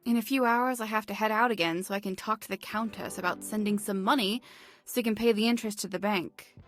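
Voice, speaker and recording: Woman acting as sensible young woman, medium pitch, dry, weary, stern, visual novel drama, music underneath. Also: fairly even intonation, quick pace, lightly acted. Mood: weary, practical. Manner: dry, exasperated, resigned.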